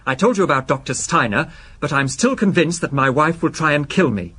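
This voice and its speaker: An actor playing a nervous, obsessive husband in a radio drama, speaking hesitantly and apologetically yet with stubborn insistence. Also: male voice, fairly high pitch, animated, brisk, sharp tone, husky, full character voice. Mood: insistent, tense. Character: nervous, obsessive shop-employee husband fearing poisoning.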